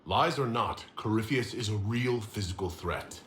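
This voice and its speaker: A man's voice: lowish voice, expressive, moderate pace, fairly bright tone. A man playing a doubtful, practical leader in a video game, blunt and questioning. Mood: skeptical, blunt. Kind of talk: video game dialogue acting. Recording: roomy with clear background noise.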